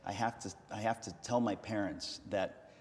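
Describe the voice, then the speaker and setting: A man's voice: on the low side, fairly even intonation, moderate pace, big-room sound. A man hosting an interview, asking questions with frequent restarts and a playful, teasing humor. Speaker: interviewer, host. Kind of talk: conversational interview.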